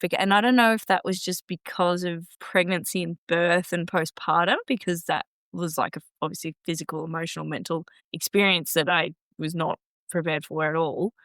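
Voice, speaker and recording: A woman in her thirties hosting a casual podcast chat, chatty and quick to laugh. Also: lowish voice, steady tone, a bit bright, dry recording. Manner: chatty, laughing easily, lots of fillers. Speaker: podcast host. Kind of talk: casual conversation.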